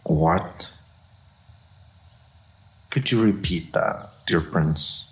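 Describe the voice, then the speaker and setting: A man voicing an aloof, dignified, solitary dragon in a fantasy roleplay, speaking formally and with measured reserve. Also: low-pitched, slightly rough, exaggerated voice. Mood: stern, incredulous. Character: aloof, solitary, dignified old male dragon of great power. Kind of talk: scripted fantasy roleplay audio.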